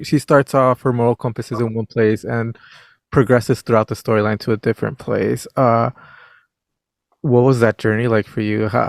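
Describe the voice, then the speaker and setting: A male interviewer asks long questions, halting and repeating words. His mid-range voice is gravelly and close, with a dry sound. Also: mid-range voice, no echo.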